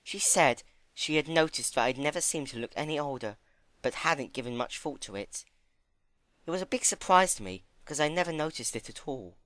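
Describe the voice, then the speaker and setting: A gentle, timid young female pony played by a man reading a story aloud. The voice sits high and light, earnest and a little hesitant, with lively swings in pitch. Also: moderate pace, no room sound. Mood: musing, hesitant.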